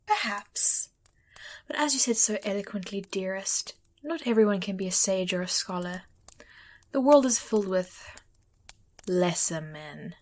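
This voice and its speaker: A woman playing a cool, dutiful, haughty judge in an audio drama, breathy and speaking in a measured, formal, condescending manner. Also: medium pitch, lively intonation. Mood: cool disdain.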